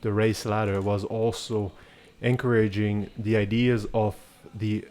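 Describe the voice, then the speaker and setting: A man's voice: fairly low pitch, flat delivery, unhurried, darkish tone. A man from Cameroon who moved to France as a child, hosting a conversational podcast interview in an earnest, reflective way. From Cameroon, then France from about age seven. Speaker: podcast host.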